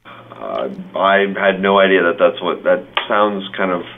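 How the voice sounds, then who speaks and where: A man's voice: sits low, animated, measured pace, gravelly. A man chatting casually on the phone, with a laid-back, wry way of talking. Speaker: resident receiving a phone call. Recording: tinny phone line with noise.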